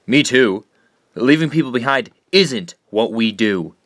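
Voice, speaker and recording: A man's voice: mid-range voice, animated, measured pace, fairly bright tone, dry recording, studio quality. An actor playing an earnest, loyal, troubled teenage boy with a sincere, plain-spoken way of talking in an audio drama. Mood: defiant. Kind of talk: conversational audio drama acting.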